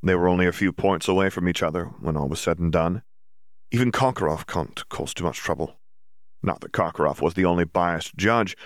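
A man's voice: deep voice, a little fast, dry recording, clean studio sound. A man reading a fantasy story aloud as scripted audiobook narration.

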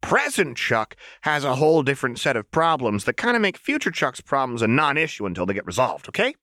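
A man's voice: mid-range voice, wildly swooping pitch, brisk, sharp tone, no echo, clean studio sound, pitched above the performer's own voice. A man voicing a manic, gleefully menacing young woman in an audiobook, with chirpy, taunting, slangy chatter. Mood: playful menace.

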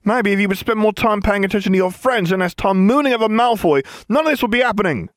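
Man doing a sulky teen boy, sarcastic and accusing, kinda high, dry sound. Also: lively intonation, quick pace, no echo. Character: sulky, grumbling teenage boy.

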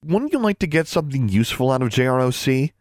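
Storybook role: impulsive young schoolgirl, played by a male reader, raised pitch, lively swings, argumentative and keen. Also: fast talker, no echo, clean studio sound, lightly acted. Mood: defensive. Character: headstrong, eager young schoolgirl, quick to argue.

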